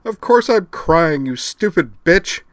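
Man voicing an impassioned, high-pitched young woman. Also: big pitch swings, takes it slowly, fairly bright tone, no room sound, studio quality, exaggerated voice. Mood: tearful, furious. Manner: emotional, vehement. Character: fiery, distraught young woman, devoted and fiercely loyal friend. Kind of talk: audiobook character voice.

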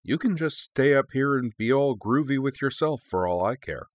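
A man's voice: on the low side, sing-song, moderate pace, a bit bright, dry recording, clean studio sound. A man voicing a sassy young female dragon in a story, with a sarcastic, snappy, defiant manner. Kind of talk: expressive storybook character reading.

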